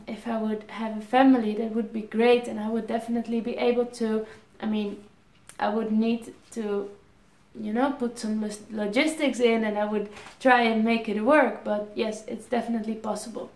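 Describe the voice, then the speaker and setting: Dutch girl in her 20s doing a q&a vlog, kinda high voice, lots of ums, roomy echo. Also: a little mellow. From Netherlands. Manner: reads questions aloud, answers with ums and restarts. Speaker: airline pilot vlogger. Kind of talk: casual Q&A vlog.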